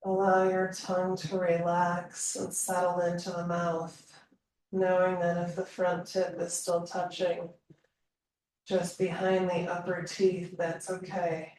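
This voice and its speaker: Echoey, slow-paced woman guiding meditation. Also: on the low side. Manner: calm, soothing, long pauses between phrases. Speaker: guided meditation teacher. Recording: roomy echo, distant mic, faint noise.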